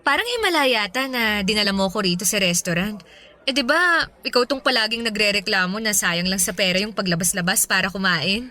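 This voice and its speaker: A woman's voice: medium pitch, animated, fairly quick, sharp tone. A woman acting a spendthrift, playful young girlfriend who gets testy when questioned, in a Filipino radio drama with a Filipino accent. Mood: teasing, affectionate.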